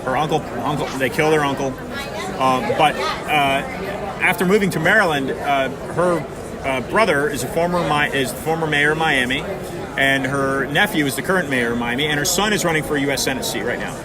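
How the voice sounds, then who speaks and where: Male interview host, hesitant and halting, slightly high voice, crowd audible behind. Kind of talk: interview hosting.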